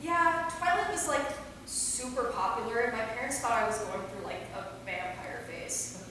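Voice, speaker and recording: In a very echoey, noisy hall, a woman plays a cheeky young female vampire. Her high voice is slangy and sarcastic, teasing her way through a stage comedy. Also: moderate pace, natural voice. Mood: flippant. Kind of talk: staged reading of a comedy play. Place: theater, recorded from a distance. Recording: very echoey hall, far mic, clear noise.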